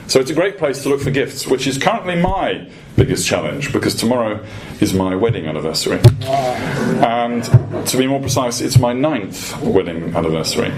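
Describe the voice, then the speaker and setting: A man giving a prepared policy presentation to a room as a guest speaker, in a measured, lightly humorous manner with pauses. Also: lowish voice, a little mellow. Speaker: guest speaker, industry association executive. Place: event room at a dinner debate. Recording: clearly noisy live event recording.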